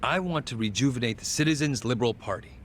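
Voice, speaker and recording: A man's voice: medium pitch, lively intonation, quick pace, a little hiss or hum, natural voice. An actor playing a polished, zealous party chairman giving a rhetorical public statement in a video game dub. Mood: confident zeal.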